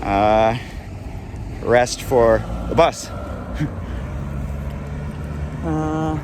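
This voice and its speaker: A man's voice: mid-range voice, extremely slow. A man vlogging outdoors at a bus stop, talking in an indignant, rambling way full of restarts. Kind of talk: rambling vlog monologue. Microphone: handheld camera. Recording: clear street traffic noise. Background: passing road traffic.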